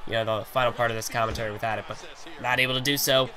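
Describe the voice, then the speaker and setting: A man with a hoarse, fading voice doing rambling play-by-play commentary on a wrestling video game, with many filler phrases. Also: mid-range voice, little pitch movement, rapid-fire, sharp tone. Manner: rambling, heavy on fillers like "you know". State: hoarse, losing his voice.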